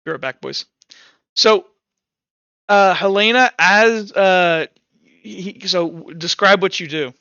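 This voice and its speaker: A man with a fairly high, bright voice runs a tabletop game as its game master. He talks casually through narration and rules with crisp clarity. The sound is close and dry, slightly dulled as in online voice chat. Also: slow, long pauses, no room sound, lo-fi sound. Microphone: headset.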